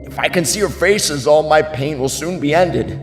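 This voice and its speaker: An actor playing an anguished, ailing father in a classical tragedy, declaiming grave, halting verse in a grandiloquent way. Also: a little high, natural voice. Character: anguished ailing father, estranged brother of a tyrant king. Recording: long hall reverb, music underneath.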